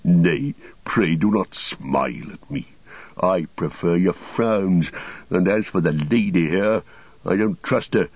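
Guy doing sneering old fallen wizard for an audiobook, cracked deep voice, kinda muffled and echoey. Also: darkish tone, exaggerated voice. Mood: bitter, proud. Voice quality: cracked, husky. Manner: mocking, drawn-out archaic phrasing.

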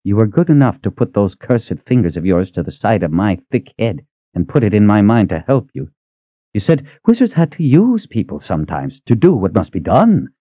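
A big gruff coachman in middle age, weary and patient, speaking in a low voice with plenty of lift and fall, as a male narrator plays him. The sound is dry and slightly muffled. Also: sing-song, moderate pace, dry recording.

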